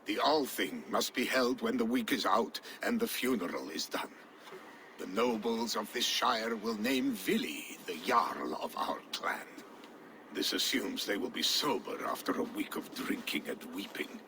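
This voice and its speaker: A man's voice: on the low side, expressive, measured pace, bright, forceful tone, slight background noise, full character voice. An actor playing a loyal, dutiful male steward with a dry wit in a game cutscene. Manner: earnest, dutiful, dryly wry.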